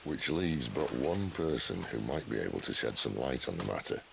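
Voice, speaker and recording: Very deep, gravelly outlaw, wry, unhurried, dry humor, game score behind. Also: male voice, sing-song, noisy background, full character voice. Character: worldly, dry-witted older male outlaw leader. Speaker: outlaw leader.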